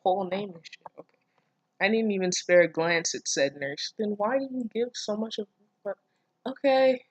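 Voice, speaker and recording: A woman's voice: medium pitch, expressive, normal speed, dry recording, muffled recording. A woman reading fan fiction aloud and reacting casually, with sarcastic, incredulous, foul-mouthed interjections.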